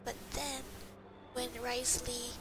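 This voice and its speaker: A woman's voice: on the high side, level tone, slow pace. A woman giving casual commentary while she plays a game, rambling and searching for her words. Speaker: gamer playing through a story quest. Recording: loud game music behind. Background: video game music.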